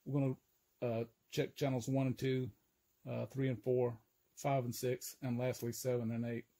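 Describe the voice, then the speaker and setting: An older man giving an informal, hands-on equipment demonstration, explaining step by step in a halting, methodical way. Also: medium pitch, level tone. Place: indoor room full of audio gear. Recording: loud noise and electrical hum.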